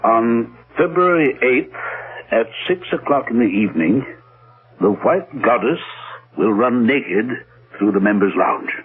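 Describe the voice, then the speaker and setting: On thin vintage radio, a prim, baffled club gentleman. His pitch swings widely as he questions slowly, fussy and incredulous, in old radio drama style. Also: expressive, slightly rough, narrow, tinny audio. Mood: curious, incredulous. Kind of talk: 1970s radio drama acting.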